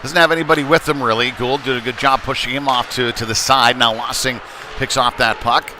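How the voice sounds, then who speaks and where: A man giving live play-by-play commentary on ice hockey in a halting way, with frequent pauses. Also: mid-range voice, steady tone. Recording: noisy live rink broadcast.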